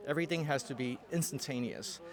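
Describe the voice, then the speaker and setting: A man's voice: mid-range voice, fast talker, crowd noise. A man from Hong Kong with a Hong Kong accent, Cantonese his first language, answering interview questions in a thoughtful, hesitant way full of fillers and restarts. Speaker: interview guest. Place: festival interview.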